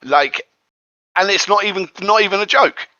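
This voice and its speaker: A man's voice: high voice, moderate pace, sharp tone, no room sound. A man speaking as a guest in a casual geeky debate, emphatic and repeating points for stress, on a dull-sounding recording. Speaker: guest panelist. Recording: dull, close, dry microphone sound.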